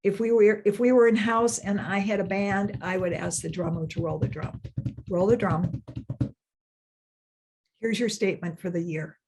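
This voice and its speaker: An older woman giving a sermon as senior minister, earnest, repeating phrases and slowing down for emphasis. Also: mid-range voice, steady tone, a little mellow, husky, poor audio.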